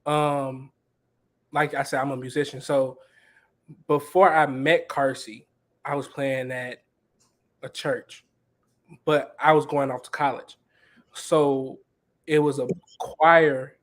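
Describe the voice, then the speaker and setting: A man's voice: medium pitch, slow pace, long pauses. A young man who plays music at church, telling stories casually on a panel and building on what others said. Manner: relaxed, storytelling, builds on others.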